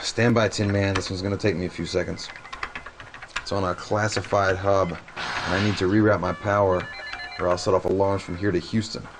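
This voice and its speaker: An actor playing a frank, harried military hacker in a video game, talking in an informal, slangy way. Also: male voice, sits low, little pitch movement, normal speed. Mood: concentrating. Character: frank, harried male military hacker running rebel teleport pads. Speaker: radio communications and teleport operator. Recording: noisy game audio with clicks.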